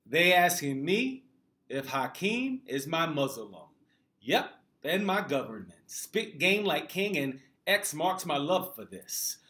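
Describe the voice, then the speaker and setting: An American man reciting spoken word poetry with rhythmic, deliberate phrasing. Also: a little high, very animated, bright, forceful tone. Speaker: poet.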